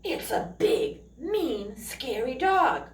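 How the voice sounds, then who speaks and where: A woman voicing sneaky burglars from a children's storybook in hushed, exaggerated tones. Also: sits high, sing-song, drawn-out words, a little hiss or hum, heavily acted. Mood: frightened. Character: two sneaky whispering burglars, timid then scornful. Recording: echoey room, distant microphone.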